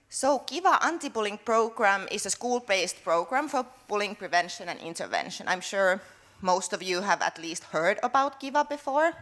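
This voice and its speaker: A woman's voice: medium pitch, a bit bright. Researcher, woman, conference workshop talk, friendly and full of fillers. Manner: friendly, loose, with frequent fillers and restarts. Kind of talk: workshop presentation. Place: conference room.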